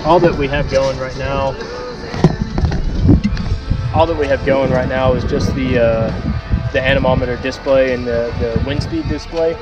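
A young man in casual narration from a small sailboat on a lake, talking through his sailing with many "uh" pauses. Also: mid-range voice, steady tone, highs cut off. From Texas. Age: young adult. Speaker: sailing vlogger. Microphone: action camera on the boat. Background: wind, water and loud music.

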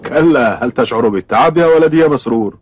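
A man's voice: mid-range voice, a little fast, dull audio, slight background noise, natural voice. A man voicing a kindly, brave uncle guiding a boy in a dubbed cartoon, with a fatherly, encouraging and dramatic delivery. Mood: cheerful, encouraging. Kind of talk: dubbed cartoon acting in formal Arabic.

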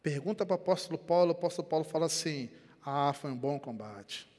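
Older man, Rio de Janeiro accent, pastor, high voice, animated sermon, long church reverb. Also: fast talker. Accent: Rio de Janeiro Portuguese. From Brazil. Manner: animated preaching with wide gestures, swings between quiet and loud. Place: church sanctuary. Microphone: headset.

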